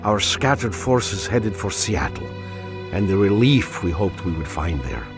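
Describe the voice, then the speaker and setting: Slow, low officer narrating. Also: male voice, big pitch swings, music in the background, exaggerated voice. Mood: bitter. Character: disillusioned Soviet officer recounting defeat. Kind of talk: scripted game narration.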